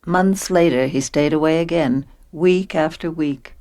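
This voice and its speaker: A woman's voice: low voice, a little slow, a crowd in the background. A woman playing a long-suffering, middle-aged working mother in a radio drama, narrating and speaking in scenes. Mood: wistful, resigned.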